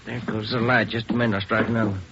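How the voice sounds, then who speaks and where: An actor playing an easygoing, wry American police detective in a 1940s radio drama. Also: male voice, lowish voice, level tone, very fast, close to an everyday voice. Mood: casual. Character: male police detective partner, easygoing, wryly needling. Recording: noisy, dull vintage radio recording.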